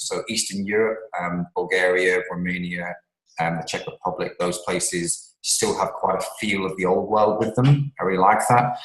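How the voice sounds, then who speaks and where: A man's voice: deep voice, echoey room, low-quality recording. A man from England, an author and scientist, answering interview questions at length with many ums and restarts.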